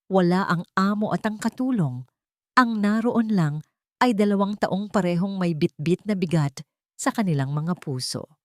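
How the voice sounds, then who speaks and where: A woman's voice: medium pitch, unhurried, darkish tone, no room sound, studio quality. A woman reading a scripted, dramatic love story as a storyteller.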